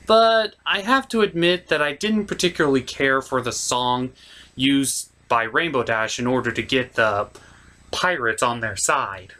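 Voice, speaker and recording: Man reviewing a film, lively intonation. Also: mid-range voice, measured pace. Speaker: host, puppeteer and film reviewer. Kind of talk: comedic review commentary.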